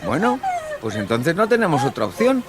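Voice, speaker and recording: A coaxing, menacing young Spanish killer. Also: male voice, on the high side, huge pitch swings, moderate pace. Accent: Castilian Spanish. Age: young adult. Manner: coaxing, falsely reassuring, condescending. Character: calm, manipulative young male killer coaxing a victim. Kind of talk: radio drama acting. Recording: music bed under the voice.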